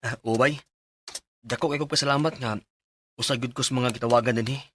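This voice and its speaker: A man's voice: mid-range voice, steady tone, brisk, fairly bright tone, a little hiss or hum, close to an everyday voice. Earnest young Cebuano man played by an actor. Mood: casual, polite. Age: young adult. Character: earnest young Cebu office worker with stomach trouble. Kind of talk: Filipino radio drama acting and letter narration.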